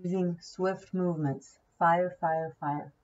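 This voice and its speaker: A woman's voice: fairly low pitch, steady tone, slow, darkish tone, recorded from a distance, lo-fi sound, a little hiss or hum. A woman whose first language is Hebrew, giving a casual tarot reading, chatty and thinking aloud, often repeating key words. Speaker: tarot reader.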